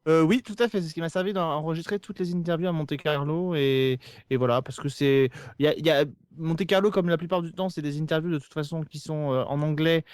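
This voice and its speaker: A man's voice: on the high side, quick pace, hardly any pauses, darkish tone, smooth, dry recording, studio quality. A man chatting casually on a podcast, explaining at length and hesitantly repeating words as he goes. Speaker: podcast host of a TV series show. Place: remote internet call recording. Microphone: podcast microphone.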